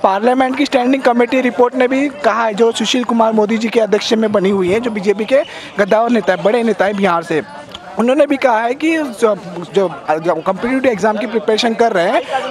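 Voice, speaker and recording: High-voiced man speaking at a protest. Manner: earnest, argues point by point. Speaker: protest spokesman. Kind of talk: interview answer at a protest. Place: outdoor protest in the city. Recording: crowd noise around.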